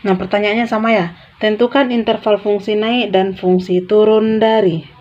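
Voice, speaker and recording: A woman's voice: mid-range voice, steady tone, moderate pace, darkish tone, soft background music. A woman explains math step by step in an informal, big-sisterly way, repeating key phrases as she writes. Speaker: math tutor. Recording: dull, lo-fi sound.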